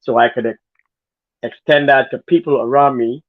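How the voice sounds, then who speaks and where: A man's voice: medium pitch, steady tone, moderate pace, dry recording. A man from Guyana with a Guyanese accent, a guest telling his story in an earnest, rambling way. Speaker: guest, former dancer. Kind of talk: casual interview talk. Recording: phone-like narrow sound.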